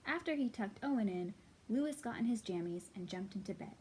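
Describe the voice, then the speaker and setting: A woman hosting storytime for young children, reading and talking to them in simple, expressive phrases. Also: medium pitch, fairly quick, husky, poor audio. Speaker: children's library storytime host. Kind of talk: storytime read-aloud and singalong for young children.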